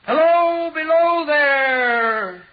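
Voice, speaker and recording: A man's voice: very high voice, heavily acted. An actor playing an eager man calling down from far above, in 1940s radio drama style. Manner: drawn-out calling shout. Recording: muffled vintage 1940s radio recording.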